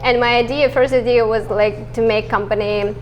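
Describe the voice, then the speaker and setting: A woman from Russia in her thirties with a Russian accent, answering interview questions as a startup founder, haltingly and with frequent laughs. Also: on the high side, fairly even intonation, low-quality recording. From Dagestan, Russia. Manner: halting, restarts words, laughs often.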